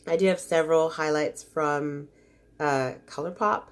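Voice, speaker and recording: A woman's voice: sits low, steady tone, unhurried. Congested woman chatting through an unboxing. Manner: chatty, gushing, easily disappointed. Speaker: beauty vlogger. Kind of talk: casual unboxing chat.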